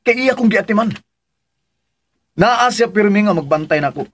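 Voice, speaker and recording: An actor with a Filipino accent playing a stubborn, defensive grown son in a radio drama, insistent and challenging. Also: male voice, sits high, animated, normal speed, husky. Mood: earnest, determined. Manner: insistent, challenging, fires back questions.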